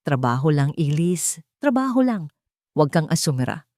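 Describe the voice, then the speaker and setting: A woman's voice: low voice, very animated, moderate pace, darkish tone, no room sound, clean studio sound. Heartbroken young woman scolding herself, acted by woman. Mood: shaken. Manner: self-scolding, trembling inner talk.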